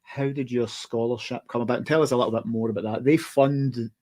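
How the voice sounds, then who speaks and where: A man's voice: mid-range voice, steady tone, fairly quick, darkish tone. A Scottish man hosting a podcast interview, joking and teasing his guest between questions. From Scotland. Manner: joking, self-deprecating banter with fillers. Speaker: podcast host. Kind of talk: casual interview chat.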